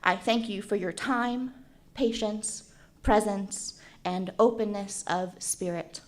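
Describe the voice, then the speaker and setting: Woman panel facilitator from the States, measured and earnest, mid-pitched voice, formal reading. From United States. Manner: measured, earnest delivery, switching to French. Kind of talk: reading a land acknowledgement, then moderating.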